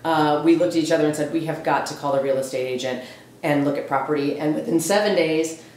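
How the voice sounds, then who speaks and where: A woman's voice: low voice, quick pace, a little mellow. A woman in her forties chatting casually and emphatically in an audition-style conversation. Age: 40s. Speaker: home buyer auditioning for a TV show. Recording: very echoey, roomy sound.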